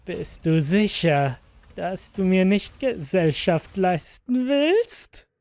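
A man voicing a sinister shapeshifting monster that poses as a father, coaxing and taunting in a drawn-out way. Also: sits high, very animated, a little mellow, no echo, full character voice. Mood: mocking, coaxing.

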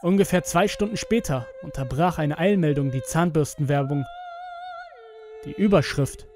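A man's voice: fairly high pitch, animated, normal speed. A man narrating a scripted horror story dramatically, as the narrator of an audio tale. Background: music with strings.

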